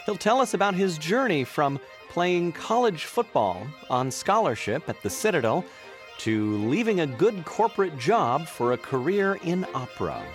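Lively male podcast host over music. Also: fairly high pitch. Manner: measured announcer delivery. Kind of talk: scripted podcast intro. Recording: music bed under voice.

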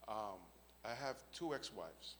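A man's voice: lowish voice, expressive, slow pace, a bit bright, big-room sound, slight background noise. A man, giving an earnest spoken faith testimony and often checking in with "right?" and "okay?". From the Bronx, New York. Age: 50s.

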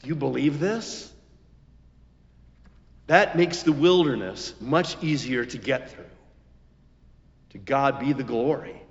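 A man preaching a sermon as a pastor, in deliberate, emphatic phrases broken by pauses. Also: medium pitch, lively intonation, in a large hall, muffled recording.

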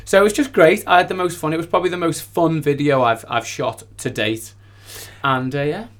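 A man with a moderately high voice chats as a music guest in an interview. He is enthusiastic and gushing, telling anecdotes in loose, informal conversation. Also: steady tone, brisk, a bit bright. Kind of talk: casual anecdotal interview chat.

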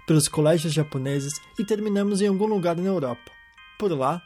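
Mellow-voiced male podcast narrator. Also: a little high, husky. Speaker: podcast host. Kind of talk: scripted narration. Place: lives in Japan.

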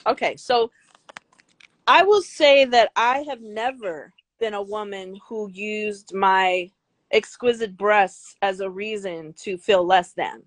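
An older woman with a high, girlish voice, speaking bluntly and emphatically as an interview guest, swearing freely. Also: slow pace, no echo. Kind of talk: candid interview talk.

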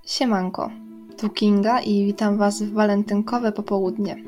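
A woman's voice: mid-range voice, flat delivery, normal speed, mellow. A young adult woman hosting a radio crime show, telling a story in scripted narration. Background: music.

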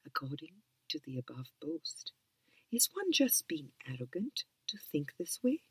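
A woman reading a spiritual book aloud in a soft, calm whisper. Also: low-pitched, unhurried, breathy edge, no echo, rough recording. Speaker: reader.

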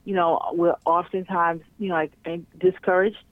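A woman's voice: on the low side, level tone, moderate pace, dry recording. A woman in casual conversation as a guest, chatty and full of fillers and restarts. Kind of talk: casual chat. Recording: tinny phone line.

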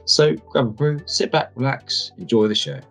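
An upbeat man hosting a podcast, chatty and relaxed as he fires off quick questions. His voice sits at an ordinary middle pitch. Soft music plays faintly behind him. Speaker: podcast host. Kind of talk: podcast interview.

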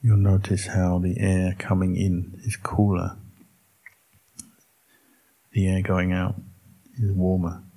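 A man teaching as a Buddhist monk, leading a guided meditation in a calm, measured way with long pauses between short phrases. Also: deep voice, husky.

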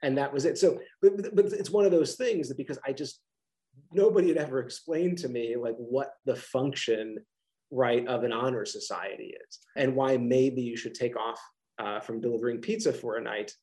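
A man's voice: medium pitch, moderate pace, dark tone. A middle-aged man with a Philadelphia accent, a college professor answering interview questions casually on a video call, with many restarts and fillers.

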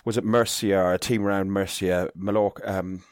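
Deep-voiced halting man. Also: steady tone, smooth, dry recording, studio quality. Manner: halting, stumbles and restarts words. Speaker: football podcast co-host. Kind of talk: casual chat.

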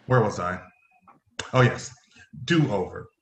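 A man playing a stern, formal middle-aged company executive in a play reading, halting and stumbling over his phrases. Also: lowish voice, expressive, slight background noise. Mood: formal, explaining. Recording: roomy echoing voice.

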